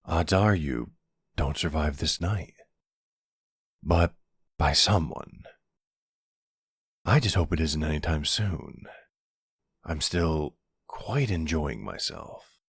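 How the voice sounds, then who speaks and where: A man playing a smug, flirty vampire in an intimate ASMR roleplay, teasing and softly menacing, with a husky, drawling delivery. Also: no room sound, clean studio sound, full character voice. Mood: wry, fond. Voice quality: husky, gravelly, very deep. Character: flirty, smug male vampire taunting a captive hunter.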